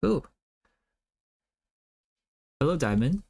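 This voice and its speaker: A man whose first language is Cantonese, chatting casually on a livestream while he draws, musing aloud softly with many fillers. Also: on the high side, very animated, very slow, vocal fry, no room sound. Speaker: streamer.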